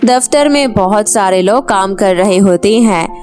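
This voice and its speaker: A woman's voice: mid-range voice, a little slow, a little mellow, soft background music. A woman storyteller reading scripted narration for a children's moral tale.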